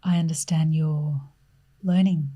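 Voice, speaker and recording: Low, dark-voiced woman interviewer. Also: steady tone, a little slow. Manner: hesitant, thoughtful questioning with soft affirmations. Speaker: podcast host, interviewer. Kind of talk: interview questions.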